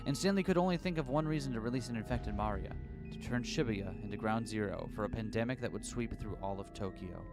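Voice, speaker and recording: A man at a middle pitch streams a game from his warm room at home, his voice a little raspy from a long session. He reads narration evenly and chats in a relaxed, rambling way, with faint music behind him. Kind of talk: reading a visual novel aloud and chatting on stream.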